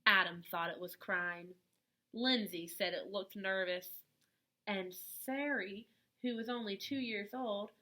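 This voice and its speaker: Slow-paced woman reading a children's story. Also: mid-range voice. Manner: expressive, deliberate phrasing addressed to young children. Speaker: teacher reading a picture book aloud to children. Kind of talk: children's story read-aloud.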